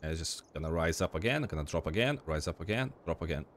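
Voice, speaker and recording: A man's voice: low voice, expressive, quick pace. A young man with a cold and a slightly crackly voice, rambling casually with lots of fillers as he explains game settings over his gameplay. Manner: rambling, informal, frequent fillers and restarts. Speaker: gaming channel host.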